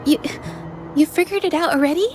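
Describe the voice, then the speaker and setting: Woman voicing a earnest young female painter, measured, reflective, high voice. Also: a little mellow, faint music behind, natural voice. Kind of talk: video game voice acting.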